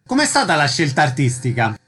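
A man's voice: a little high, wildly swooping pitch, brisk, fairly bright tone. A man, working as a radio interviewer and putting questions to a guest.

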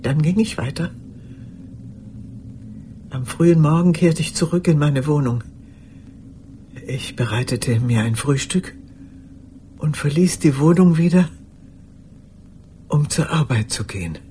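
An older woman acting a formal, lonely old woman in a radio play monologue, speaking in a measured, deliberate way. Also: low-pitched, dark tone, slight background noise. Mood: subdued, weary.

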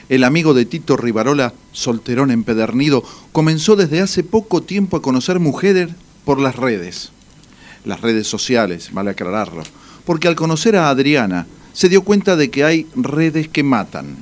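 A man's voice: mid-range voice, natural voice. A man narrating a comic audio drama as a wry, theatrical storyteller.

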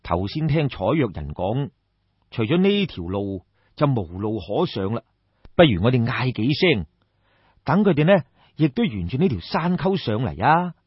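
A man with a Cantonese accent voicing a calm, practical young companion with a mild, reasoning manner in a folk tale. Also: medium pitch, moderate pace, husky, no echo, lo-fi sound, pitched below the performer's own voice.

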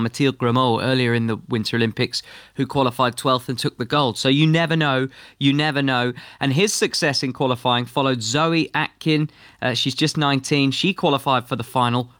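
A brisk man from the United Kingdom presents sports news on breakfast radio, with a lightly wry touch between the results. His voice is dry and close, with almost no room sound. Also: medium pitch, a bit bright, dry recording. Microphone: studio microphone.

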